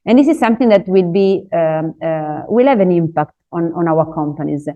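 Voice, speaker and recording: A woman giving a business webinar presentation in non-native English, pausing often with "uh" fillers. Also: lowish voice, expressive, dark tone. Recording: dull lo-fi webinar audio.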